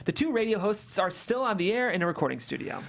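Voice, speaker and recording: Woman host reading out a screenplay, casual delivery, middle-pitched voice. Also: big pitch swings, fast, vocal fry. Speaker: host and stage-direction narrator. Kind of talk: table read narration with casual introduction.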